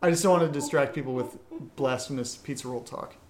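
A man's voice: mid-range voice, quick pace, a crowd in the background. A man co-hosting a casual podcast Q&A, joking around and steering the chat back to the questions. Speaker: podcast host reading patron questions. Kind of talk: casual Q&A banter.

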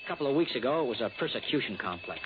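An American actor playing an exasperated, scoffing insurance agent who frets over business, in a 1960s radio drama. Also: male voice, medium pitch, big pitch swings, very fast, natural voice. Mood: dismissive. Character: exasperated, dismissive male insurance agent, fretting over premiums. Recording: muffled, noisy old radio recording.

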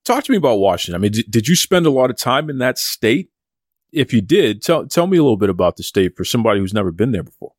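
A man's voice: medium pitch, very animated, fast talker, no room sound, clean studio sound. A man hosting an interview, asking questions with encouragement and repeating words as he goes. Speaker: podcast host.

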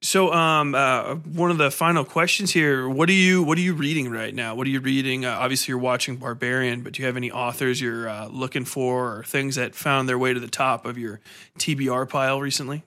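A man's voice: medium pitch, fairly even intonation, fairly quick, pushed and bright. A man in his thirties hosting a casual interview, talking in a chatty, informal, anecdotal way. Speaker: podcast host.

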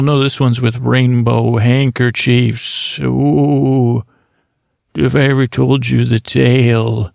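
Man, podcast host, heavy vocal fry, flat pitch, meandering goofy monologue, dry close sound. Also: no room sound. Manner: meandering, full of restarts and asides, goofy. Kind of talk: rambling improvised monologue.

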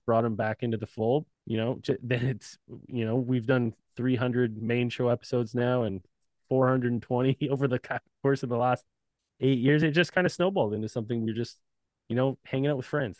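A man in the guest chair of a casual podcast, talking loosely with plenty of swearing and easy laughter. His voice sits at an ordinary middle pitch. The recording is close and dry, with no room sound. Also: steady tone, fairly quick, a little mellow, no room sound. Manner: laid-back, profane, laughs often. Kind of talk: casual chat.

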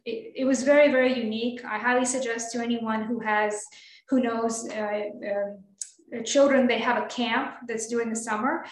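A higher-pitched woman talks with eager, high energy as a guest on a radio arts program. Her speech runs quick and passionate, with frequent ums. The voice sits in a roomy, echoing space. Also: a little hiss or hum. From Russia. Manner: energetic, enthusiastic, many fillers. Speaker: guest pianist. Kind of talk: radio interview.

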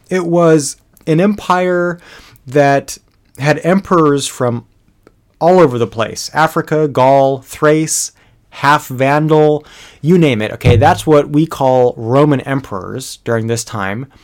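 A man narrating a history lesson in a casual, conversational way, with frequent fillers and restarts. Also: fairly high pitch. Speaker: history educator.

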